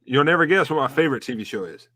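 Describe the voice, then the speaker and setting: A man's voice: on the high side, animated, racing, bright, forceful tone, no room sound. Man, interview host, friendly encouraging questions, dry close sound. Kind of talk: casual interview chat.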